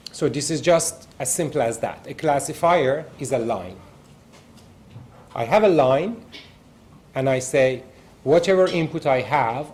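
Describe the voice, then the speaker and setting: A man's voice: medium pitch, darkish tone, a little hiss or hum. A male university lecturer with an Iranian accent, a native Persian speaker, giving a recorded lecture and working through examples step by step with frequent fillers.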